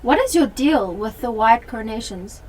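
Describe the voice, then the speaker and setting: A woman's voice: fairly high pitch, expressive, moderate pace, darkish tone, close to an everyday voice, rough recording. A teenage woman playing a blunt, pressing police detective in an amateur radio play. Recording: light background noise.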